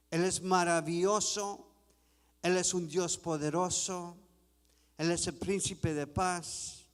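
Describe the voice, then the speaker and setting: A man preaching a sermon in a church, reading scripture slowly and deliberately. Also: high voice, smooth, in a large hall. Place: church sanctuary.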